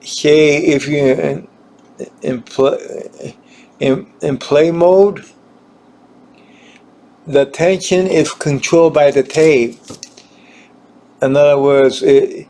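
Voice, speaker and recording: A man giving an informal hands-on equipment demonstration, halting and repeating himself as he searches for words. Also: fairly high pitch, dark tone, slight background noise. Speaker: gear demonstrator.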